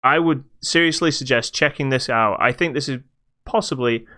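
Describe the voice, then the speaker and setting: A man's voice: mid-range voice, normal speed, pushed and bright. A British man hosting a podcast, casually reviewing a video game in a hesitant, stop-start way.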